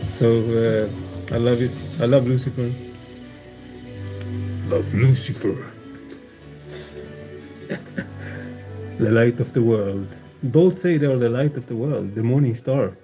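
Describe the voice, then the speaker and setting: A man's voice: medium pitch, slow pace, pauses often, music in the background. A man chatting casually on a livestream, meandering and hesitant, with many fillers and restarts and frequent chuckles. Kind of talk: casual rambling stream chat.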